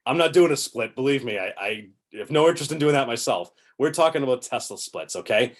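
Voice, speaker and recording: A man's voice: mid-range voice, lively intonation, fast. Upbeat chatty bearded man explaining. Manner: upbeat, chatty, gesturing with both hands. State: slightly hoarse, clearing throat. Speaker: investing channel host. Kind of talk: casual explainer to camera. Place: home room with shelves.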